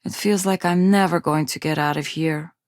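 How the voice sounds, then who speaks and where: An actress playing a shy, self-doubting young undergraduate from a poor space colony, with a hesitant, awkward manner, in video game dialogue. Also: female voice, low-pitched, steady tone, normal speed, a little mellow, dry recording, clean studio sound, close to an everyday voice. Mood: wistful, downcast. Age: young adult.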